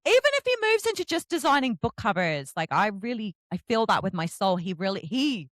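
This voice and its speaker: Casting: woman, slightly low-voiced, very animated podcast host, emphatic and chatty, effusive with guests. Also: brisk, dry recording. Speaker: podcast host interviewing an author. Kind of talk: casual enthusiastic book chat.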